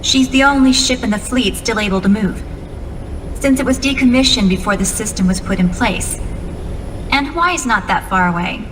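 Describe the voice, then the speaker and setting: An actress playing an earnest, composed young female military officer in a video game, giving a measured briefing. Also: fairly high pitch, lively intonation, lightly acted. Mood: calm, reassuring. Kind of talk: cinematic video game dialogue. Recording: long reverb, clear background noise.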